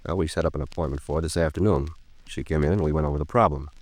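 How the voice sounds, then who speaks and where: Fast-talking, low-voiced earnest attorney. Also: male voice, steady tone, darkish tone, no echo. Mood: earnest, concerned. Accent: American. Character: earnest, cautious male attorney, talkative and proper. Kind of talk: 1950s radio drama dialogue.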